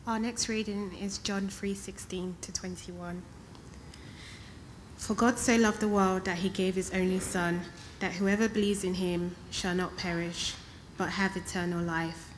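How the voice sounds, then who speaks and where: A woman's voice: lowish voice, slight background noise. A woman reading a Bible passage aloud at a stand mic in a church. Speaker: scripture reader. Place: church, indoors.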